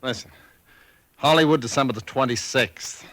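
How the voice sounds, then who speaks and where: Man playing a matter-of-fact man reading a clipping, bright, dry sound, old radio drama. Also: mid-range voice, moderate pace, no echo, close to an everyday voice.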